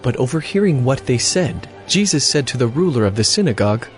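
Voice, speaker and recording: A man's voice: medium pitch, big pitch swings, fairly quick, faint music behind. A man reading scripted narration for a dramatized audio Bible.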